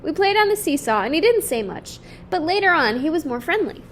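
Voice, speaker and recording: A woman's voice: extremely high pitch, very animated, a little fast, a bit bright, slight background noise, pitched above the performer's own voice, heavily acted. A woman voicing a cheerful, frank young girl in a picture book, chatty and candid. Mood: happy. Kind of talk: children's picture book read-aloud.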